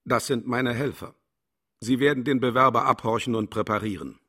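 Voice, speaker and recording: An actor in a radio play playing a pompous, cynical personnel chief who speaks in a grandiose, theatrical way. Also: male voice, mid-range voice, normal speed, bright, forceful tone. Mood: curious, pompous. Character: pompous, cynical male slaughterhouse personnel chief posing as philosopher. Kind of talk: German satirical radio play acting.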